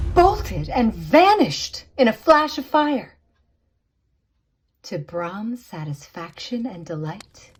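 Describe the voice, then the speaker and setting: Slow, theatrical woman storyteller with animated pitch. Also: slight background noise. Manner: theatrical, suspenseful, with deliberate dramatic pauses. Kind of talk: dramatic scripted storybook narration.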